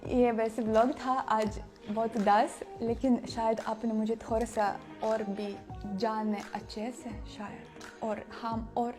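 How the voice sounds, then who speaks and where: Young woman vlogger, Russian accent, non-native speaker, halting word-searching delivery, warm higher voice over faint music. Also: level tone. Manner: halting, searches for words, many restarts. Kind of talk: casual chat to camera.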